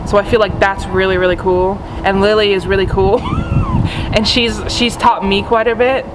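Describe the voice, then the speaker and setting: A woman's voice: mid-range voice, huge pitch swings, normal speed. A young American woman chatting casually in an interview, leaning on "like" and repeated intensifiers. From United States. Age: young adult. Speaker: film student interviewee. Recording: clear hum of background noise.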